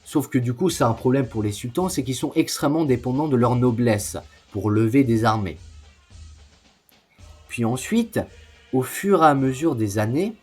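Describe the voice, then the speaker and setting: A man's voice: medium pitch, expressive, normal speed, a little mellow, slightly rough. A man narrating an educational history video in an explanatory way, with informal asides. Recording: music behind the voice. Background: music.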